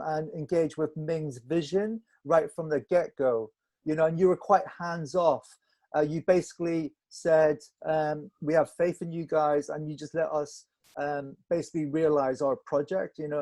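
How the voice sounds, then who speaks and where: A man speaking as a film director in a casual panel interview, with halting, hesitant phrasing. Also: a little high, darkish tone.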